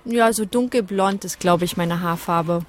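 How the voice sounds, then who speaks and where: A woman from Germany, answering interview questions in a relaxed, friendly, slightly hedging way. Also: lowish voice, measured pace, a little hiss or hum.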